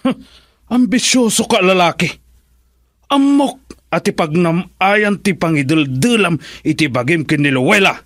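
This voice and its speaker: High-voiced, domineering Filipino father. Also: animated, measured pace, dry recording, clean studio sound. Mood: scornful, outraged. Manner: scornful, threatening. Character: angry, domineering father opposing his daughter's marriage. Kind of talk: Filipino radio drama acting.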